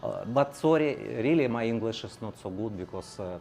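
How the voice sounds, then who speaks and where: A male channel host in casual chat, speaking halting non-native English with many fillers and a native Russian background. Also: on the low side, lively intonation, a little mellow, faint music behind.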